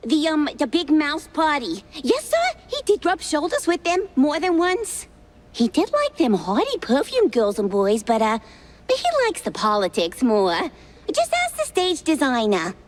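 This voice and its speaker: A woman's voice: high-pitched, very animated, a little slow. A woman playing a ditzy, flustered magician's assistant mouse in a cartoon game, rambling and scatterbrained. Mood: ditzy, chatty.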